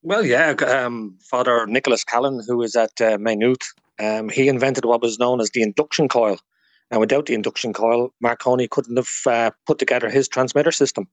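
Irish bloke, history expert being interviewed, explains stuff like a story, medium pitch, dry studio. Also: male voice, steady tone, moderate pace, no echo. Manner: informative, storytelling. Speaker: guest expert, radio historian. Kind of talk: informal explanatory interview talk.

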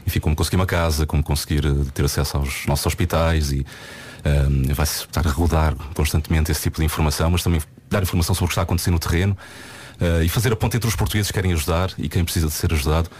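Deep voiced guy, husky, talks really fast explaining stuff. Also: steady tone, darkish tone. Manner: brisk, explaining. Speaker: radio project lead. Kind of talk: radio studio chat. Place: radio studio.

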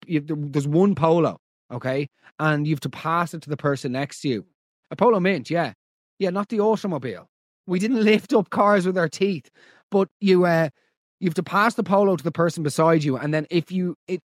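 Irish guy podcast host, kinda high voice, animated mock outrage, dry close sound. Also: no room sound. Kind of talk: comedic podcast chat reacting to listener stories.

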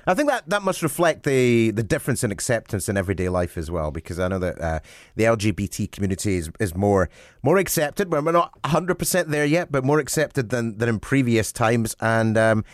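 A man's voice: on the low side, expressive, brisk, no echo, studio quality. A Scottish man co-hosting a casual chat, bantering and asking questions. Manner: laughs often, warm banter. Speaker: radio co-host.

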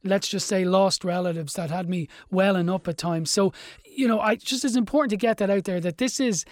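A man's voice: high-pitched, a little fast, dry recording, clean studio sound. A middle-aged man, interviewing a filmmaker in a chatty, enthusiastic way. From Ireland. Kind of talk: conversational interview.

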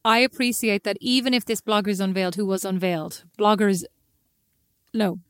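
A woman's voice: mid-range voice, steady tone, moderate pace, dry recording, clean studio sound. A woman with a slight lisp co-hosting a casual chat with her sister, playful and mock-indignant. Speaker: podcast co-host.

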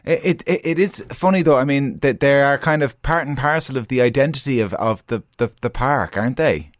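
A man's voice: medium pitch, a little fast, no echo. Irish man, radio host, chatty, stumbling restarts, dry close studio mic. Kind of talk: radio interview.

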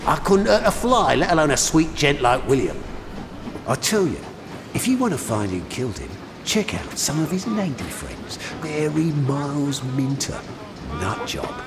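An actor playing a shifty, cheeky ex-chauffeur and con man with a Cockney English accent in a radio interview. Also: fairly high pitch. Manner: evasive, cheeky, chatty. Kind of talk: 1920s radio news interview, acted. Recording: echoey station hall with clear noise.

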